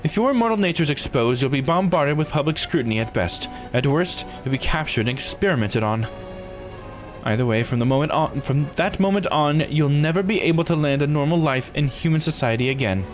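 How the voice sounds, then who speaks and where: Man playing a composed, blunt law officer, animated delivery. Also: on the high side, soft background music, lightly acted. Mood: blunt, grave. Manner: measured, formal, matter-of-fact explaining.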